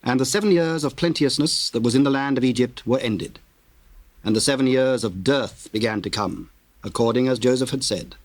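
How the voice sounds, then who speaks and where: A man narrating scripture in a solemn, measured, formal way with theatrical diction. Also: medium pitch, no echo.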